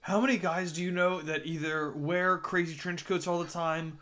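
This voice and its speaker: A man's voice: on the high side, steady tone, moderate pace, a bit bright. A man playing a tabletop game with friends, talking in a playful, goofy way. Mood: blustering. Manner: playful, goofy, in-character bluster. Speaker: player. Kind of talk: casual tabletop game chat.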